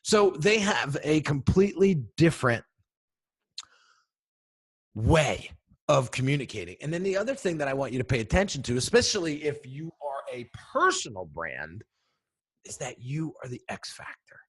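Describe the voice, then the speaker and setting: A man with a deep voice, a brand coach giving an energetic teaching talk straight to viewers, often checking in with "right?" and "okay?". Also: moderate pace, husky. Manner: energetic, emphatic, addresses viewers directly with frequent "right?" and "okay?". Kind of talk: instructional video talk.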